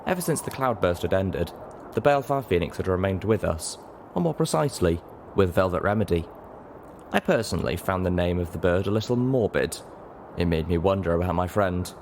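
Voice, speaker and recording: A man reading a story aloud in expressive scripted narration. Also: low voice, fairly quick, slight background noise. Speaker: audiobook narrator.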